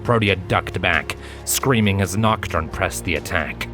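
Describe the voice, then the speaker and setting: A man reading a story as dramatic scripted narration, in a measured, solemn manner. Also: deep voice. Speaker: fan fiction reader, narrator. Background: background music.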